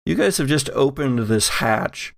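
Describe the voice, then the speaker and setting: A man's voice: mid-range voice, lively intonation, a little slow, vocal fry, dry recording. A man narrating as game master on an actual-play podcast.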